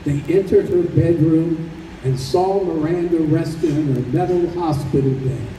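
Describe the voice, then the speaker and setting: A man performing as the measured narrator of an old time radio drama, telling a sentimental story. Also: a little high, warm tone, lightly acted. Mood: solemn storytelling. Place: convention hall stage. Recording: roomy live stage recording, distant, faint noise.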